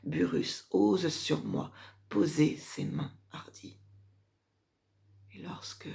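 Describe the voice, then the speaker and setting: A woman playing a proud, scheming Roman empress mother who reproaches her son in a measured, declamatory French classical verse tragedy monologue, moving between hushed drops and emphatic swells. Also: low voice, very animated, gravelly, whispered, full character voice. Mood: wounded, restrained anger.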